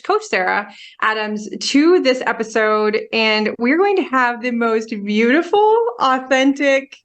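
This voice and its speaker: A woman's voice: on the high side, lively intonation, a little slow. A woman co-hosting a podcast, opening with a gushing, enthusiastic introduction. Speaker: podcast co-host.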